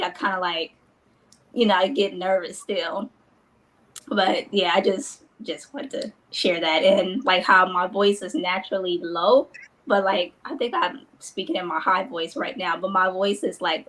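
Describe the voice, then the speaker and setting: A woman's voice: fairly low pitch, steady tone, normal speed, a bit bright, rough recording. A woman sharing a personal story with a group, hesitant, with many "like" and "um" fillers.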